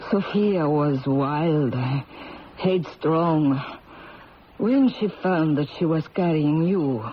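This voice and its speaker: An actress playing an ancient, dying Italian grandmother who tells fortunes, speaking with an Italian accent in a halting, theatrical, mournful way in a radio drama. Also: low voice. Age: older. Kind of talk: 1970s radio drama acting. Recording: muffled, noisy old radio broadcast.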